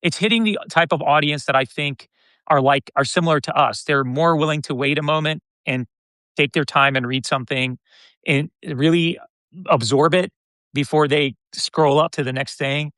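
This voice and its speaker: An American man in his fifties talking casually as an interview guest, often halting and restarting his phrases. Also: a little high, a bit bright, dry recording. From United States (Detroit). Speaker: podcast guest, content creator.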